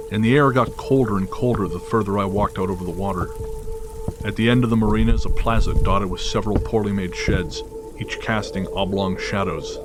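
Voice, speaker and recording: A man with a fairly low voice reads a first-person horror tale. His delivery is measured and literary, with faint music underneath. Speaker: narrator. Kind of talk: scripted first-person horror story narration.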